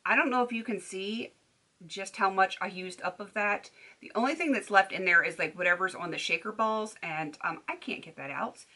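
A woman's voice: medium pitch, moderate pace, bright, forceful tone. A woman in her thirties chatting to camera at home about makeup, rambling with lots of ums and restarts. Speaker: beauty vlogger. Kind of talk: casual chat to camera. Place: bedroom at home. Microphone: camera at desk distance.

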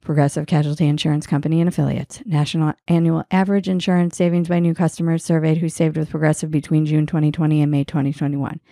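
A woman's voice: deep voice, little pitch movement, quick pace, few pauses, mellow, no echo, studio quality. A woman speaking as a podcast host, in interview chat and host-read sponsor spots.

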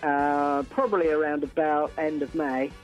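Guy from a band being interviewed on radio, bit high voice, stumbles into sentences, faint music under him. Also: fairly even intonation, normal speed, rough recording. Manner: hesitant, repeats words as he starts phrases. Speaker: band frontman, interview guest. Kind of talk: casual radio interview chat.

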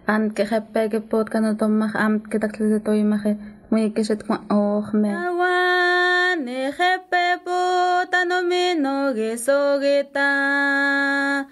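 High, very slow woman chanting. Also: steady tone, a bit bright, faint music behind.

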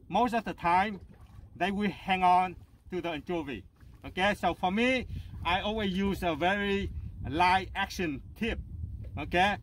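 High-pitched man giving halting fishing tips. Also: a bit bright, a little hiss or hum. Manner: halting, repetitive explaining, often checking listeners follow. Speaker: host of a fishing channel. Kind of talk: casual instructional fishing vlog. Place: small open motorboat out on a bay.

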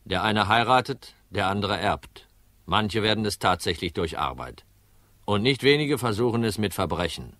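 An actor playing a gruff, weary hard-boiled insurance detective in a crime radio drama, dry and laconic. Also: male voice, lowish voice, lively intonation, moderate pace, fairly bright tone, no room sound. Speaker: narrator and lead actor.

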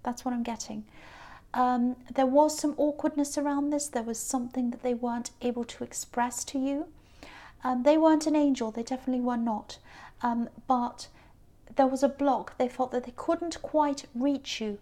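Woman, high voice, tarot reader, hesitant, lots of ums, slightly croaky, speaking over a tabletop card spread. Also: steady tone, moderate pace, mellow. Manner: hesitant, many ums and restarts. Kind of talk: unscripted tarot reading.

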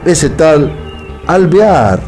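An actor playing a hot-headed, scornful Italian immigrant husband with an Italian accent in a radio soap. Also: male voice, fairly high pitch, very animated, extremely slow, darkish tone, faint music behind, heavily acted. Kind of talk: radio soap opera acting.

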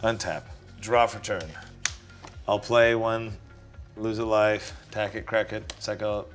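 A man's voice: on the low side, little pitch movement, unhurried, soft background music. A man at a card game table, joking and talking through his turn step by step in casual chat. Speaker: player. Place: indoor studio at a game table.

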